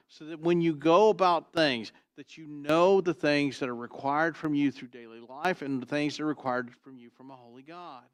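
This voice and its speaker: Booming preacher, dry close sound. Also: male voice, no echo. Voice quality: booming trained voice. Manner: emphatic, swinging from loud to quiet, repeats "Why?". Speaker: pastor. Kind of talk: expository sermon. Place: church sanctuary.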